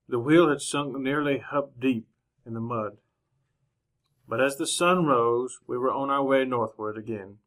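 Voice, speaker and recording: An actor playing a reserved, humane gentleman traveler in a radio Western, speaking in a measured, formal manner. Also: medium pitch, close to an everyday voice. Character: proper gentleman traveler narrating his Wild West journey, reserved, humane. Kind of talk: old-time radio drama narration and dialogue.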